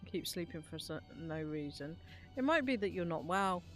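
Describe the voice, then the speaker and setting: A woman's voice: on the low side, animated, moderate pace. A woman chatting casually and playfully as she plays on a gaming live stream. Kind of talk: casual live stream chat while playing. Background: faint music.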